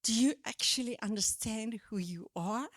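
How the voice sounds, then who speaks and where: Woman, South African accent, preaching, high-pitched, dry recording, animated. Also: dry recording. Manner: animated, emphatic, drawn-out stresses. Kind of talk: sermon teaching.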